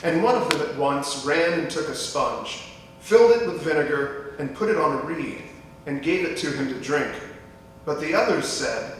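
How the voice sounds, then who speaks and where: A man's voice: animated, moderate pace. A man reading scripture aloud as a narrator in a dramatic church reading. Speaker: scripture reader. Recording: echoey church hall, distant mic, faint rumbling noise.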